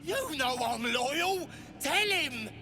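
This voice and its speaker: An actress voicing a desperate, cringing female goblin who pleads in drawn-out cries in a fantasy video game. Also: high-pitched, expressive, noisy background. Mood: desperate pleading.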